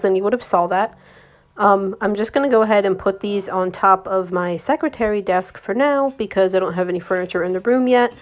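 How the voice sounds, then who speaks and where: A young woman with a slightly raspy voice from a recent cold, chatting casually to viewers as she narrates her decorating vlog. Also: mid-range voice. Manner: chatty, friendly, addresses viewers directly. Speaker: home decor vlogger.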